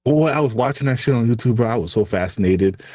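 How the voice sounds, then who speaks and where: A man with a Haitian accent co-hosting a podcast in casual chat, animated and quick to laugh, his voice jumping high when he gets excited. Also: no echo, dull audio. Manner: lively, jumps high in pitch when excited, laughs often. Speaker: podcast co-host.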